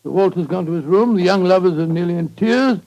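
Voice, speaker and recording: A man's voice: sits high, fairly quick, mellow, dull audio. A man playing a kindly, flustered middle-aged English doctor in a 1940s radio drama, bumbling and repeating himself in an avuncular way. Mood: gloomy.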